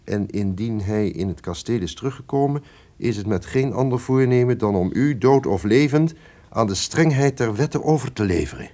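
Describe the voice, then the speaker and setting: An actor playing a powerful, vengeful, scheming duke in theatrical radio drama, with declamatory, archaic formal diction. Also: male voice, medium pitch, moderate pace, warm tone, close to an everyday voice. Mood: stern reading.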